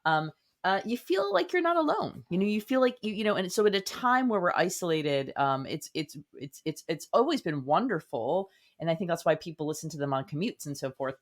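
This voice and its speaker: A woman talks as a guest writer in a relaxed interview. Her delivery is earnest and reflective, wandering from one thought to the next as she explains. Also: low-pitched. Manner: earnest, meandering. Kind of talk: casual, reflective interview talk.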